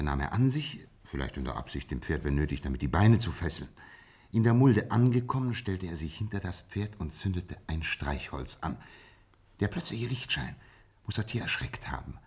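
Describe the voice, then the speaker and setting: An actor playing a shrewd, self-assured gentleman detective in a German radio play, explaining deliberately with teasing asides. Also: very deep voice, breathy edge, heavily acted.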